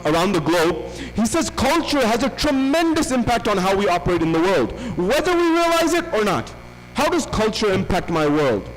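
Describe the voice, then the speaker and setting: A man delivers a religious lecture, piling up rhetorical questions and repeated phrases for emphasis. His voice sits high for a man. The sound carries a long hall reverb and a faint hum underneath. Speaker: visiting lecturer.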